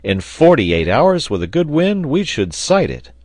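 Hearty storybook sailor, played by a male reader: excitable, bluntly confident, animated and lifted pitch, exclamatory delivery. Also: unhurried, no room sound, clean studio sound. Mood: excited, eager. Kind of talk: audiobook character dialogue.

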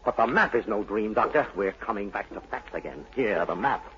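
An actor playing the haunted, brooding son of a mad sea captain in a dramatic, insinuating 1940s radio style. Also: male voice, lowish voice, animated, fairly quick, a bit bright. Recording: muffled, hissy 1940s radio broadcast.

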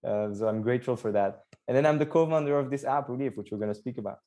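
A young man from Belgium talks as a podcast guest, upbeat and full of detail, padding his sentences with casual fillers. His voice sits at an everyday middle pitch for a man. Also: fast, mellow. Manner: upbeat, explains at length with fillers. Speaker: startup co-founder guest.

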